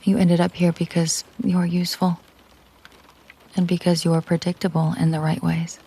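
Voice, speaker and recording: A woman playing a possessive, commanding mafia boss girlfriend in an intimate roleplay audio drama, speaking softly and deliberately, often slipping into a whisper. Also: lowish voice, level tone, breathy edge. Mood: cool, probing. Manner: slow, deliberate, coolly possessive.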